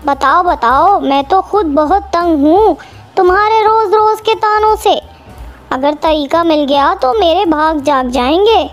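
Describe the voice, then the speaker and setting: A woman's voice: extremely high pitch, measured pace, faint music behind. A woman voicing a lazy, pampered husband crow, whiny and defensive, in a children's cartoon. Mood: eager, complaining. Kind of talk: Hindi children's cartoon acting.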